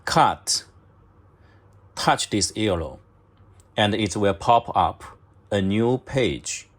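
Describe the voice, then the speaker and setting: A man narrating step-by-step instructions in halting, short clipped phrases, as a product demonstrator. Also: fairly low pitch, lively intonation. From China.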